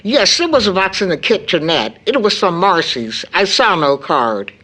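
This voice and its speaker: A woman playing a worried, caring mother in an audio drama, speaking in a measured, earnest way. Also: lowish voice, big pitch swings, fairly bright tone. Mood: concerned. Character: worried, caring mother recounting her daughter's breakdown.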